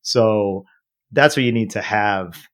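A man's voice: fairly low pitch, slow, a bit bright. A man co-hosting a podcast in casual chat, blunt and opinionated, swearing casually. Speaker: podcast co-host.

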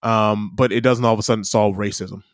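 A man's voice: fairly low pitch, steady tone, fairly quick, no room sound, clean studio sound. A man in his thirties with a Midwestern American accent, chatting casually and arguing his point with easy laughs. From United States. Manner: chatty, argumentative, laughs easily. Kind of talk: casual chat.

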